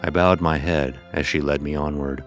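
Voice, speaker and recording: A man's voice: rumbling low, fairly even intonation, moderate pace, soft background music. A man reading first-person scripted narration for an audio story.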